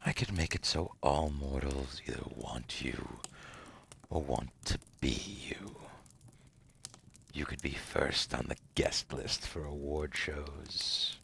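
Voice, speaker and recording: Seductive demon banker for ASMR roleplay male performer: rock-bottom pitch, half-whispered, slow and silky, smug, faint noise behind. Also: fairly even intonation, a bit bright, full character voice. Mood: seductive, tempting. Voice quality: gravelly, breathy half-whisper. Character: seductive, condescending male demon dealmaker, suave otherworldly banker.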